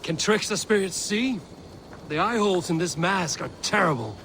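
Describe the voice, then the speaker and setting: An actor playing a bold young Viking warrior, wry and teasing, in video game dialogue. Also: male voice, high voice, expressive, moderate pace, noisy background.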